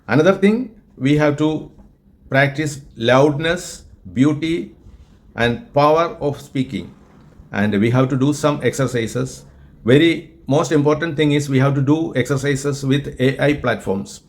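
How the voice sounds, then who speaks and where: A man from Kerala, India, with a Malayalam-influenced Indian accent, teaching English in an earnest, deliberate way and often repeating himself. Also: a little high. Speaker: English tutor and group organizer. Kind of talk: spoken English lesson with an AI chatbot.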